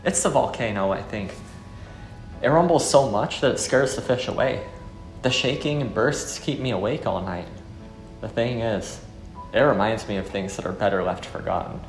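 A man playing a weary, brooding former soldier turned fisherman in a visual novel, speaking in a solemn, earnest, measured way. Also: lowish voice, natural voice. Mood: regretful, weary. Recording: echoey room under music.

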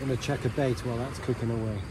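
A man's voice: medium pitch, little pitch movement, rapid-fire. A man, chatting casually and in an offhand way as a fishing and cooking vlogger. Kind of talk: casual chat to camera. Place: open shingle beach beside a shelter. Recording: noisy outdoor ambience, wind and waves.

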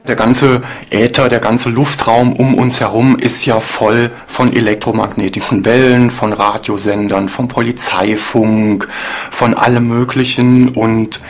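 A man's voice: mid-range voice, slight background noise. A man giving an explanatory interview as a sceptical expert.

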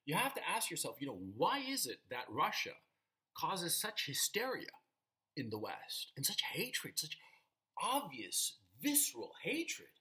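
A man's voice: on the high side, unhurried. A man in his fifties from Chile, talking casually and emphatically to camera in a small room at home through a webcam mic. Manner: animated, gesturing with hands, emphatic. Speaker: vlogger. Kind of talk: casual opinion monologue to camera.

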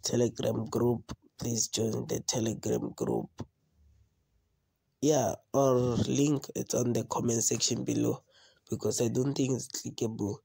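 A man's voice: medium pitch, animated, measured pace, creaky, dark tone, breathy edge, no echo. A man with a cold hosting a casual trading tutorial over a screen recording, often repeating himself and asking "you understand?". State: has a cold. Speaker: trading video host.

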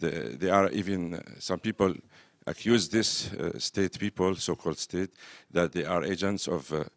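A reporter from Syria puts a pointed, argumentative question to the panel. His voice is extremely deep. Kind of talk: press conference question.

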